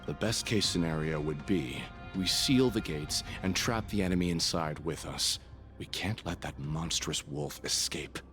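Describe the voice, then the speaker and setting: Very deep-voiced, cynical guard. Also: male voice, a little slow. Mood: grim, blunt. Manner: deadpan, blunt, grave. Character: cold, cynical, world-weary male assassin bodyguard. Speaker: character voice actor. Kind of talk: video game story dialogue. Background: background music.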